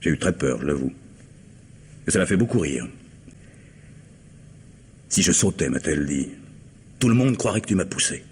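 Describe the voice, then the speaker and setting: An actor playing a guarded, formal husband under suspicion in a French radio mystery, speaking with measured courtesy. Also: male voice, deep voice, sing-song, darkish tone. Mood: weary, defensive. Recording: noisy old radio drama recording.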